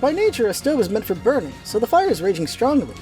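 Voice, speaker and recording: A woman's voice: on the high side, sing-song, quick pace, mellow, soft background music, poor audio. A woman reading scripted storybook narration as the narrator of a radio play.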